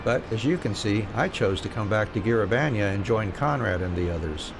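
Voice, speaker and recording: A man's voice: fairly low pitch, fairly quick, creaky. A man streaming a game, reading story dialogue aloud and chatting casually. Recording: steady noise behind the voice.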